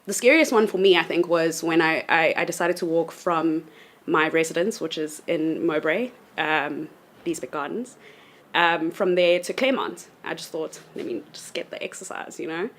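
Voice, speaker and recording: A woman's voice: low-pitched, moderate pace. Hesitant young woman telling a story. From South Africa. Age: 20s. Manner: hesitant, many ums and restarts. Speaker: university student, panel guest. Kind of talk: casual storytelling.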